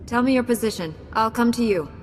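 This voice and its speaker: A woman's voice: fairly high pitch, a little fast, natural voice. An actress playing a confident, dryly witty female Jedi Knight in a video game, cool and assured. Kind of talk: video game cutscene acting. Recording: echoey hall, music behind.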